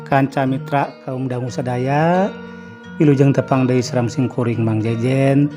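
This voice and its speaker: A man's voice: fairly even intonation, a little slow, music in the background. A man with a Sundanese accent narrating a spooky radio tale in a dramatic, rising storytelling manner. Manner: dramatic, rising pitch on suspense.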